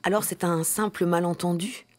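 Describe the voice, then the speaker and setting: An actress playing a timid, deferential office employee who stammers, in a satirical radio drama. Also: female voice, on the low side, fairly even intonation, normal speed, lightly acted. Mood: timid, puzzled.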